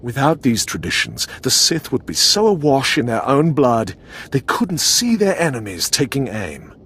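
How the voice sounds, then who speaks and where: An actor playing a cold, haughty older Sith lord in a video game, formal and condescending. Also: male voice, medium pitch, big pitch swings, moderate pace, fairly bright tone, slightly rough. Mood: cold, regretful. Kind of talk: dramatic video game acting.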